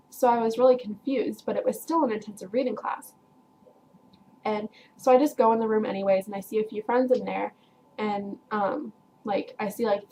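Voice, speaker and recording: A teenage girl chatting away to her viewers, telling a meandering story in a mid-pitched voice. She fills her sentences with "like" and "um", and laughs easily as she goes. Faint background noise sits under the recording. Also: darkish tone, rough recording. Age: teens. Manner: chatty, rambling, heavy use of "like" and "um"; frequent laughs while telling. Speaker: vlogger. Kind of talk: casual storytelling to camera.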